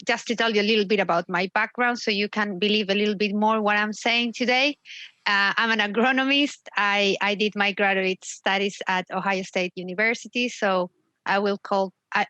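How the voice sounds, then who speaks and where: A dry, close recording of a woman from Chile with a Chilean Spanish accent. She speaks at a medium pitch. Her manner is chatty and informal as she presents as a guest speaker on a video call. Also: bright, forceful tone, no room sound. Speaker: technical manager, guest speaker. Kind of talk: informal webinar self-introduction.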